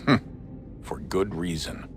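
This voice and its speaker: An actor playing a middle-aged assassin father speaking haltingly and apologetically to his grown son in a game cutscene. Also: deep voice, animated. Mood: firm. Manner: halting, apologetic, sometimes whispered. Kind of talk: video game cutscene acting. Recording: game score behind voice.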